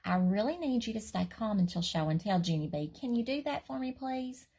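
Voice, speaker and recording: A woman voicing a weary male first-grade teacher who speaks calmly and firmly. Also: mid-range voice, a little fast, slightly rough, pitched above the performer's own voice. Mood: patient. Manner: calm, firm, patient.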